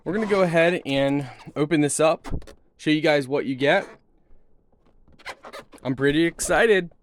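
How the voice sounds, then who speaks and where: A man's voice: fairly high pitch, unhurried. A man giving a casual product unboxing and demo in an easygoing, approving, informal way. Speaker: product reviewer. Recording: noticeable background noise with handling clicks.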